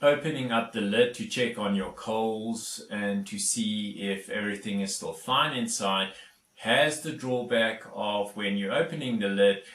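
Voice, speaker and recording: A man's voice: on the low side, unhurried, fairly bright tone, roomy sound, recorded from a distance. A South African man whose first language is Afrikaans, hosting a casual DIY vlog and explaining each step with plenty of fillers. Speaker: YouTube DIY host.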